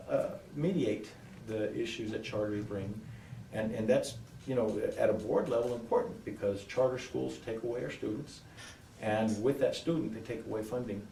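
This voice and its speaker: A man applying for a school board seat answers at a public forum, pausing and repeating phrases for emphasis. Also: medium pitch, gravelly, warm tone. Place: indoor public forum room. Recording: roomy echo, distant mic, faint noise.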